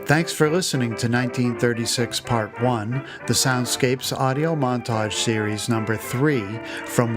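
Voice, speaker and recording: A man hosting a podcast, reading a scripted outro. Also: medium pitch. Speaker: podcast host. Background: loud brass music.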